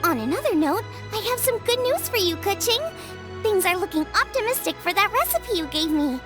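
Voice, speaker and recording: A woman's voice: extremely high pitch, big pitch swings, a little fast. A woman voicing a cheerful, supportive young girl cook in a video game, earnest and reassuring. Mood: upbeat, friendly. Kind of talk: animated game voice acting. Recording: music behind the voice.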